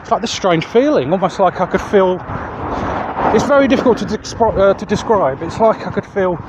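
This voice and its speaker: A man's voice: sits high, animated, a little fast. A man with a southeast English accent chatting casually in a rambling, hesitant way as he hosts a vlog on foot. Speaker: vlog host. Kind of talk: casual chat to camera while walking. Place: outdoors walking along roads. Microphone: handheld external microphone. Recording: muffled outdoor street audio, loud traffic noise.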